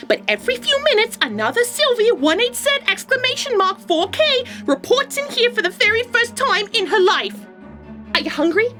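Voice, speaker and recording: An exasperated alien clerk from an audio comedy. The voice is extremely high, swoops around and cuts through brightly. Delivery is brisk and theatrical. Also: female voice, sing-song, faint music behind, exaggerated voice. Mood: brisk, matter-of-fact. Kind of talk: scripted audio comedy acting.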